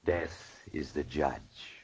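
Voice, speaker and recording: Deep, sinister radio mystery host, loud music. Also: male voice, very slow, heavily acted. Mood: ominous, storytelling. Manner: ominous, theatrical. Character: sinister, suave mysterious male traveler narrating eerie tales. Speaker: host and narrator. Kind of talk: 1940s radio mystery narration.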